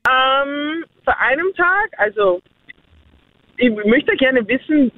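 A woman's voice: high-pitched, animated, slow, creaky, dry recording. A woman in a casual chat who rambles, repeats herself and giggles as she talks. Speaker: guest sharing her views. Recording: dry tinny phone-like sound.

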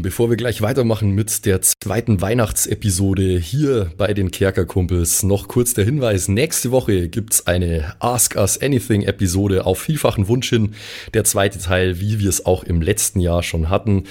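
A man's voice: lowish voice, moderate pace, a little mellow. A man speaking as podcast host, giving an announcement to listeners. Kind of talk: scripted-sounding announcement to listeners.

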